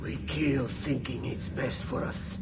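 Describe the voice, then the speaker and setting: An actor playing a cold, treacherous villain in a video game, mocking and theatrical, with an Italian accent. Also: male voice, mid-range voice, steady tone, slow pace, in a whisper. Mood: smug, cold. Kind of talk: dramatic video game acting. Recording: reverberant, with music behind.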